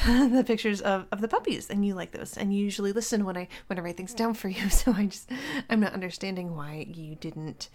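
A woman acting out a bossy, scolding young girlfriend in an audio roleplay, slipping between hushed whispers and loud outbursts and laughing mockingly as she talks. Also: mid-range voice, natural voice. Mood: exasperated. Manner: frequent laughter while talking.

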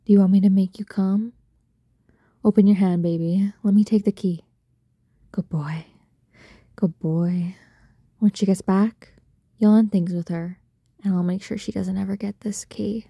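A woman speaking in an intimate adult roleplay style straight to the listener, soft, breathy and coaxing, full of doting pet names. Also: medium pitch, fairly even intonation, unhurried, long pauses, no echo. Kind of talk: intimate erotic roleplay audio, addressing the listener.

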